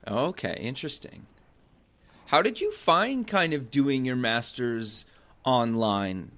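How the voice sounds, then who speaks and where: A man's voice: mid-range voice, lively intonation, slow pace, fairly bright tone. Easygoing male podcast host. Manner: filler-heavy, leans on "kinda" and "sure". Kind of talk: casual interview hosting.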